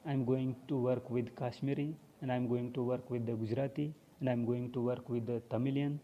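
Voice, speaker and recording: A man's voice: mid-range voice, little pitch movement, fairly quick, long reverb tail, lo-fi sound, a little hiss or hum. A man with an Indian English accent giving a university lecture, explaining step by step and posing and answering his own questions.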